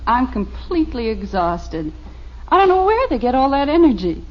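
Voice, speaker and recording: An actress playing a patient, gently amused wife and mother in an old-time radio comedy. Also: high voice, big pitch swings, normal speed. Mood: weary, amused. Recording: muffled, noisy old radio recording.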